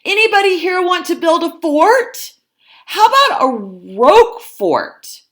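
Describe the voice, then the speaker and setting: A woman's voice: very high-pitched, sing-song, unhurried, fairly bright tone, pitched above the performer's own voice, full character voice. A woman voicing a bold, restless young girl mouse from a storybook, emphatic and hungry for adventure. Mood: bored, defiant. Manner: emphatic, declarative.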